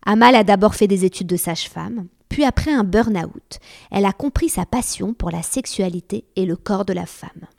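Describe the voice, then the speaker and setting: A woman's voice: mid-range voice, moderate pace, vocal fry, darkish tone. A woman hosting a podcast, reading a scripted introduction and then asking friendly, encouraging questions. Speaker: podcast host.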